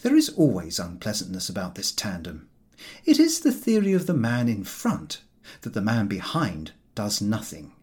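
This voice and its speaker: Man narrating with highly animated pitch. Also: moderate pace. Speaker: audiobook narrator. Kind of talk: expressive narration of a comic novel.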